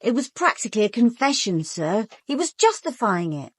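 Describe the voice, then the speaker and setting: A keen police inspector in a radio drama, with a fairly high voice in a close, dry sound. She is businesslike and deferential. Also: big pitch swings, moderate pace, slightly rough, no echo. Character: keen, respectful female police inspector reporting to her superior. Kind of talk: British radio drama acting.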